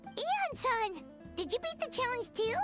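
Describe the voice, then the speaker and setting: A woman voicing a tiny, excitable, childlike fairy companion in an anime video game, with a dramatic, whiny manner. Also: very high-pitched, a little slow, music in the background, heavily acted. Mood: puzzled. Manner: speaks of self in third person, exclamatory, dramatic.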